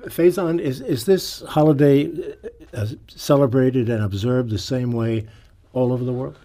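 A man hosting a live radio panel interview, speaking in a halting way with repeated words. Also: medium pitch, warm tone. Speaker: radio talk show host. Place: radio studio.

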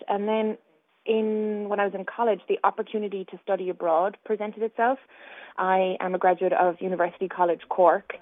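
A woman's voice: mid-range voice, no echo. An Irish woman from County Clare, a guest musician giving reflective, long explanatory answers in a radio interview. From Ireland, County Clare. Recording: tinny dry phone line.

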